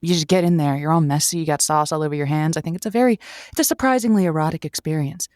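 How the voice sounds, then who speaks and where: A woman's voice: deep voice, quick pace, darkish tone, no room sound, studio quality, close to an everyday voice. A woman playing a waffling, people-pleasing radio advice host who keeps hesitating and restarting her sentences. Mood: flustered. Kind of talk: improvised audio drama.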